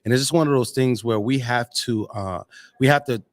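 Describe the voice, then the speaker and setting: A man's voice: medium pitch, brisk, no room sound. Earnest American man, dry close sound. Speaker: podcast host and actor. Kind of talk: casual live chat.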